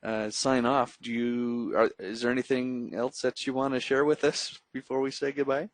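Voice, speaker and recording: A man's voice: medium pitch, flat delivery, moderate pace, dry recording. Man hosting a webinar, close and dry. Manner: reads out viewer questions, friendly sign-off lines. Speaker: webinar host.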